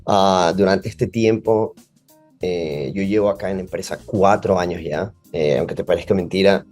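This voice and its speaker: A man's voice: low-pitched, normal speed, darkish tone, soft background music. A man with an Ecuadorian Spanish accent, a podcast guest who explains at length with many fillers. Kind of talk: podcast interview.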